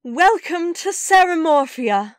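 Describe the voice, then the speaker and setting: A woman's voice: very high voice, lively intonation, slow pace, no room sound, studio quality, voice pushed up, heavily acted. A woman voicing a gracious, welcoming magical dog queen for an animation audition. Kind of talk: animated character audition lines.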